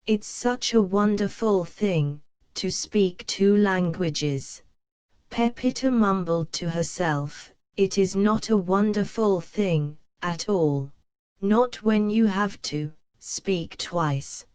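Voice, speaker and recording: A woman reading a children's picture book aloud, slow and careful for young learners. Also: medium pitch, a little mellow, clear, resonant tone, no echo. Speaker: storybook reader.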